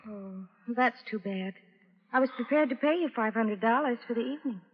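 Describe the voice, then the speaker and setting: Poised socialite, muffled reverberant recording. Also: female voice, on the high side, moderate pace, husky. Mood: composed. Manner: composed, polite. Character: poised, wealthy female socialite client. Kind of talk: 1940s-50s radio detective acting. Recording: muffled old radio recording, long reverb.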